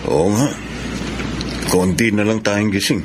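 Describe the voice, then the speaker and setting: A man's voice: fairly low pitch, unhurried, close to an everyday voice. An actor playing a thrifty, patient seaman father in a Filipino radio drama. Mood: tense. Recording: noisy radio drama audio.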